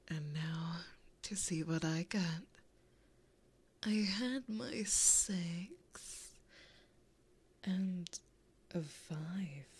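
Woman acting a slow, breathy whispering demon temptress. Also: lowish voice, darkish tone, heavily acted. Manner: slow, teasing, intimate. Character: flirty, possessive, taunting female demon gambler. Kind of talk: whispered ASMR roleplay. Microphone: close binaural microphone.

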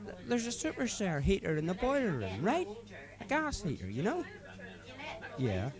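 A man's voice: sits high, huge pitch swings, moderate pace, voice pushed up. A man voicing a drunk, rowdy young male policeman who cackles and leers. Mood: boastful. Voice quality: cackling, leering, shouting. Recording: crowd noise behind.

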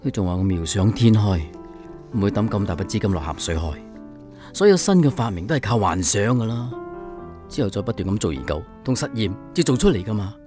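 Young inventor dad, idealistic, wounded pride, very varied intonation, radio drama. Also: male voice, lowish voice, sing-song, a little fast, music in the background. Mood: indignant. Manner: indignant, self-pitying. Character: idealistic, frustrated inventor husband and father.

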